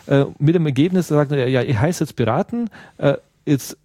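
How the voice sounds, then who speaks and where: A man's voice: a little high, moderate pace, dark tone, dry recording. A man from Russia giving an interview in a second language, explaining earnestly and searching for words as he goes. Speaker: interview guest, party activist.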